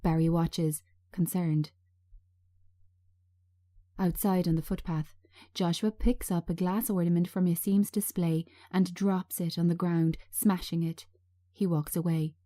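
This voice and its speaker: Slow, mellow woman narrating a script. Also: lowish voice, fairly even intonation. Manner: measured, present-tense scene reading.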